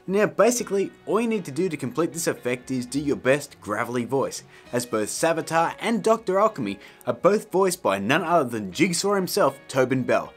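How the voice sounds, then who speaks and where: A man's voice: on the high side, big pitch swings, moderate pace, hardly any pauses, faint music behind. A man in his thirties hosting a casual scripted tutorial in a jokey, emphatic, theatrical way. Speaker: tutorial host.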